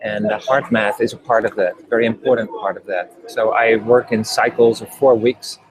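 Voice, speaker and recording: Low, flat-voiced Dutch man. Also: normal speed, poor audio. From Netherlands. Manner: hesitant, many ums and restarts. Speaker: guest, HeartMath trainer. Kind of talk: casual interview talk.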